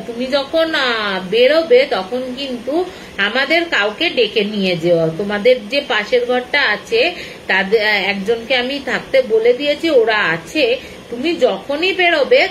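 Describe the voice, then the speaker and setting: A kindly old grandfather in a ghost story, voiced by a woman in a high register. He cautions gently and plays down any danger. Some noise sits behind the voice. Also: low-quality recording. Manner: gently warning, reassuring.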